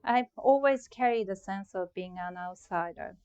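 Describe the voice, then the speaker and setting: A woman's voice: medium pitch, normal speed, darkish tone, clear, resonant tone, muffled recording. A middle-aged woman from Japan with a Japanese accent, giving a prepared, reflective talk about her own art. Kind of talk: prepared artist talk presentation.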